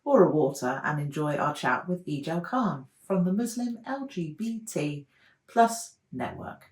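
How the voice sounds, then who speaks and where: A woman's voice: sits low, animated, a little slow, a little mellow, slightly rough. A woman co-hosting a podcast, welcoming and friendly as she introduces the show and its guest. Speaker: podcast co-host.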